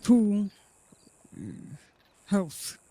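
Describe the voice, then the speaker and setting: A man playing a hesitant man with a health worry, halting through his sentences with many fillers. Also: high voice, wildly swooping pitch, warm tone, dry recording, exaggerated voice. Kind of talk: roleplay dialogue.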